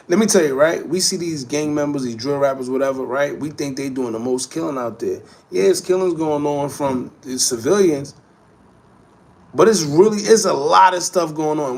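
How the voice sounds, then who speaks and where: A man's voice: fairly high pitch, gravelly. A man in his thirties hosting a casual livestream chat, talking in slangy, rambling street speech and answering viewer comments. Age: 30s. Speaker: host.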